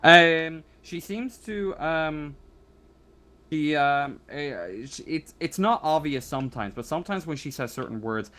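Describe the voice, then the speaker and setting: A man running a tabletop roleplaying game as game master, improvising narration in a halting way, searching for words. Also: a little high, lively intonation, sharp tone, a little hiss or hum.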